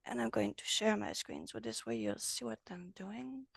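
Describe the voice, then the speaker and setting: A woman with a French accent, a native French speaker, teaching a relaxed online software class over a video call. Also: medium pitch, moderate pace, gravelly, breathy edge, no echo, clean studio sound. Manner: friendly, loose, switches between English and French. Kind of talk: casual online class walkthrough.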